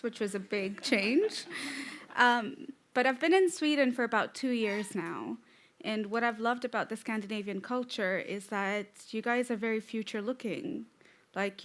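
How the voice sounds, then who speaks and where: A young woman from Tanzania giving a conference talk on stage, relaxed and joking at times. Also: a little high, a little hiss or hum. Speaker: conference speaker. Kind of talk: prepared talk with light humor.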